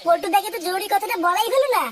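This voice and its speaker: A very high, squeaky young cartoon man. He gossips crudely and excitably at a quick clip, over clear background noise. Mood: alarmed gossip. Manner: crude, slangy, hurried. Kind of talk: comic cartoon dubbing.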